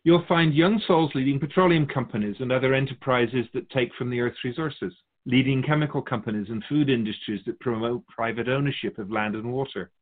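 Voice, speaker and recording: A man speaking as a spiritual guide, explaining things in a measured, didactic, conversational way. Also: mid-range voice, phone-quality sound.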